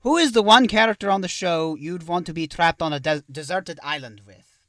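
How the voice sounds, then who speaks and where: A man's voice: high voice, brisk, fairly bright tone, no room sound, clean studio sound. A man voicing a courteous vampire count who hosts an interview show, with a put-on Dracula-style accent and a wordy, hesitant way of talking. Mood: courteous, amused. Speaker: podcast host and interviewer. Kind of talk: in-character interview.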